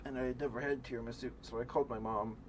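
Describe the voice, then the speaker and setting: A man streaming ASMR, chatting in a halting, rambling way through casual personal stories. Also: mid-range voice, steady tone, noisy background.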